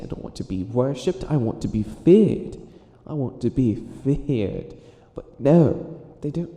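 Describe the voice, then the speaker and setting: A man's voice: on the high side, dark tone, echoey room, slight background noise. A man playing a theatrical, exasperated wicked witch who fails at being evil, stammering through a comedic roleplay monologue. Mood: grandiose villainy. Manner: exasperated, stammering, melodramatic villain rambling.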